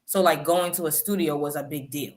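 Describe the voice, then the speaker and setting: Rapper guest, female, 30s, low pitch, lively storyteller. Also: a little fast. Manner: animated, chatty storyteller. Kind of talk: interview storytelling.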